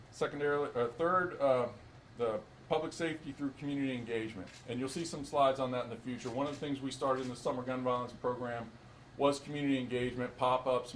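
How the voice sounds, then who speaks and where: A man at mid pitch walks a room through slides at a press briefing, measured and earnest. He often restarts his sentences and fills pauses with "uh". Faint noise sits behind him. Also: low-quality recording. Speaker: acting police chief.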